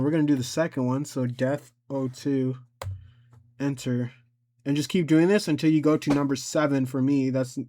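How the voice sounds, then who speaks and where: A man giving a casual, informal software tutorial, talking himself through each step as he goes. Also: mid-range voice. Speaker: tutorial host.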